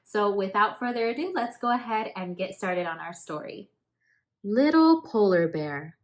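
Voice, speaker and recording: A woman teacher reading a picture book aloud to young children in an expressive, emphatic, deliberate way. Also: medium pitch. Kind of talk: animated children's storybook narration.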